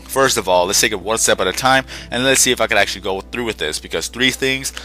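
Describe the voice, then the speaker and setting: Young man, low voice, forceful tone, slightly congested, self-correcting, faint music behind. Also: fast. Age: young adult. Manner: rambling, self-correcting, conversational. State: slightly sick and congested, short on sleep. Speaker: gaming channel host. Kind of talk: casual rambling channel update.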